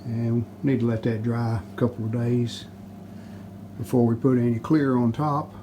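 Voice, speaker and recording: A man casually narrating a hands-on how-to as he works, plain-spoken, unhurried and modest. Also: mid-range voice. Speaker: restoration hobbyist and video host. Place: home basement workshop. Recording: steady background noise, handling rustle.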